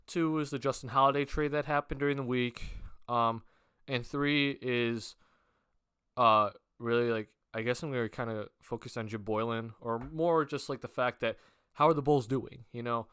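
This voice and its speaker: A man's voice: medium pitch, fairly even intonation, moderate pace, a bit bright, clear, resonant tone, dry recording, clean studio sound. Young male sports vlogger, sick with a cold, rambling and filler-heavy, clean resonant tone. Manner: rambling with many fillers and restarts. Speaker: basketball vlogger. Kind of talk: casual sports talk to camera.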